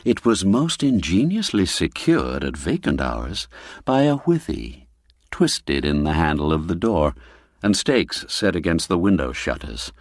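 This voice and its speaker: A man narrating a classic literary story for radio, reading expressively and deliberately, drawing out key words for emphasis. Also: very deep voice, no room sound, clean studio sound.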